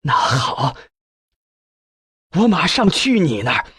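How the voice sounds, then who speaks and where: Male narrator as ailing old professor, husky, slightly strained, slow, eager, audiobook character. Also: on the high side, sing-song, a bit bright, no room sound, exaggerated voice.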